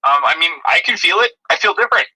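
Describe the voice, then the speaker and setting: A man's voice: sits high, expressive, quick pace, bright, forceful tone, low-quality recording. A man co-hosting a podcast in a casual, conversational review style. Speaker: podcast co-host. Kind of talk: casual movie review chat.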